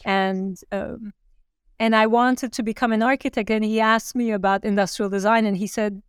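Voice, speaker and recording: A woman's voice: mid-range voice, steady tone, normal speed, clear, resonant tone, no echo, clean studio sound. A woman from Turkey, talking thoughtfully as a designer guest in an interview. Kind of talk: conversational interview.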